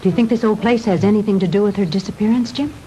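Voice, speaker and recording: A woman's voice: mid-range voice, very fast, mellow, faint music behind, natural voice. An actress playing a kind, composed young woman in a 1960s B-movie, polite and earnest. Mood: gentle concern. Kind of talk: 1960s B-movie film acting. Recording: noisy old 1960s film soundtrack.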